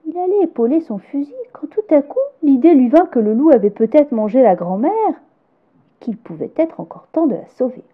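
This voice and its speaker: A woman reading a children's fairy tale aloud, gentle and expressive, sometimes dropping to a hush. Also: high-pitched, normal speed, phone-quality sound. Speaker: storyteller.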